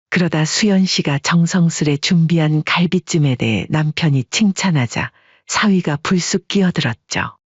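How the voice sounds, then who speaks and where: A steady narrator tells a family drama in a calm, even way. The voice is husky and mid-pitched. The recording is dry and a bit dull. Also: dry recording. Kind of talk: scripted storytelling narration.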